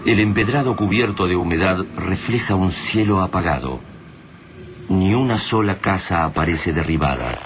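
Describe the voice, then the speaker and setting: A man playing a grave, poetic narrator of a science-fiction radio play, with an Argentine accent and deliberate delivery. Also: deep voice, natural voice. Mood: grave, ominous. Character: solemn male narrator of a science-fiction invasion tale. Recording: radio drama with clear background noise.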